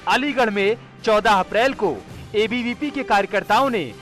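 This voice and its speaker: A man narrating a television news report as a field reporter, with a measured, emphatic delivery. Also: sits high, over music.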